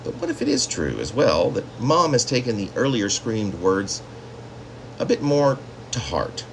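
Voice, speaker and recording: A man reading his own literary story as a poet, with theatrical, drawn-out phrasing and dramatic emphasis. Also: on the low side, huge pitch swings, slight background noise, rough recording.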